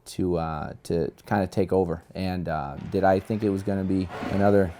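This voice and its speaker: A middle-aged man, a high school hockey coach, talking casually in a plain-spoken, informal way. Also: little pitch movement, moderate pace, dark tone, a little hiss or hum. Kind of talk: casual interview talk and rink coaching.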